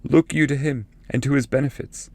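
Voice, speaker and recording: A man voicing a grave, fatherly ancestral spirit who foretells exile in solemn, measured verse from an epic poem. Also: medium pitch, fairly even intonation, a little mellow, no echo, pitched above the performer's own voice, close to an everyday voice.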